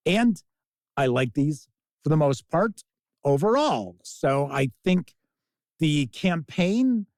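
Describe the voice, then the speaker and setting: A man's voice: a little high, a little mellow, no echo. A man giving a casual spoken review in an emphatic, opinionated, conversational way, recorded on a close microphone. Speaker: game reviewer and host.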